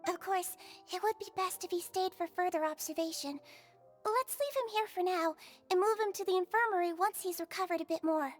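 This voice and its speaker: A woman voicing a small, sweet, earnest nurse in a video game, polite and clinical with a gentle scolding streak. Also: very high voice, a little fast. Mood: caring, polite. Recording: music under the voice.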